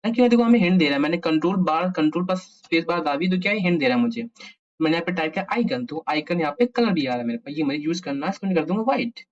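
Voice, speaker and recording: A man's voice: a little high, expressive, rapid-fire. A man with an Indian accent giving a casual coding tutorial, thinking aloud playfully and mixing Hindi with English. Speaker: programming tutorial host. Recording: dull lo-fi microphone sound.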